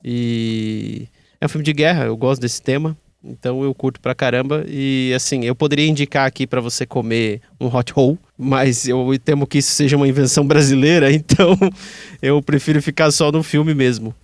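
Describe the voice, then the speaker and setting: A man's voice: mid-range voice, fairly even intonation, moderate pace, no echo. A man with a Brazilian accent hosting a casual podcast chat in an informal, slangy way, with some swearing. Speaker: podcast host.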